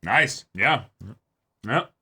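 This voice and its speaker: A man's voice: medium pitch, animated, extremely slow, a little hiss or hum. A man hosting a reaction show, giving casual commentary in short exclamations and interjections. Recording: loud show music behind speech.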